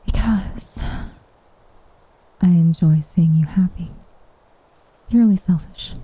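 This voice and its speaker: A woman voicing a guarded, secretly tender tsundere witch in soft, often whispered ASMR roleplay, with coy, hesitant, wryly formal phrasing. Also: lowish voice, slow pace, breathy edge, no room sound, full character voice, rough recording. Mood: guarded, wistful.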